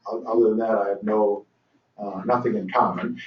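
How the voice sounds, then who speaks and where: A man's voice: on the low side, steady tone, moderate pace. An older man lectures at a training session, talking loosely with many fillers and dry asides. He is heard from some distance across a reverberant room. The recording is dull and muffled. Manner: dry humor, frequent fillers and restarts. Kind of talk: conference lecture.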